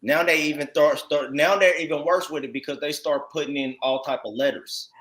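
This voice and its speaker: A man with an American accent and a fairly high-pitched voice teaches scripture informally. He speaks emphatically, circles back over his phrases and keeps checking in with tag questions as he exhorts his listeners. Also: steady tone, brisk, fairly bright tone, poor audio. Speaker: congregation teacher commenting on read text.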